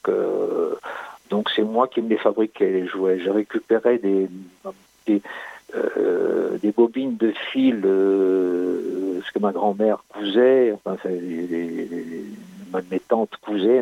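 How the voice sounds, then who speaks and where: A sculptor in his sixties from Italy recounts his life story in a halting way, with many restarts and hesitations. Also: lowish voice, gravelly, no room sound. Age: 60s. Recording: dry phone-like audio.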